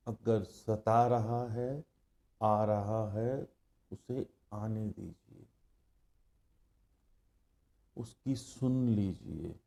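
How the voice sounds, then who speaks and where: Very slow, dark-voiced Indian man guiding meditation. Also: on the low side. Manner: calm, hushed, measured, with long pauses. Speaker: guided meditation instructor. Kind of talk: guided meditation instruction.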